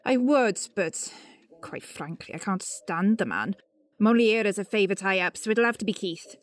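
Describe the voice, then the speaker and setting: A British woman playing a bossy, exasperated senior political staffer in an audio comedy, brisk and dry. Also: mid-range voice, lively intonation, natural voice. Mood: dry disdain. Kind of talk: audio comedy drama acting.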